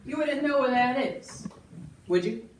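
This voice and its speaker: A woman's voice: high-pitched, unhurried. A woman acting a chatty, folksy, matter-of-fact frontier shopkeeper in an amateur stage play. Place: indoor school stage. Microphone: distant audience camera. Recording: echoey noisy hall from far off.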